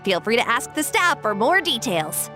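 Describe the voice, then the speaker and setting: A woman's voice: fairly high pitch, sing-song, normal speed, music in the background. A woman voicing a chipper young female staffer in a video game. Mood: explanatory. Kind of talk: video game character dialogue.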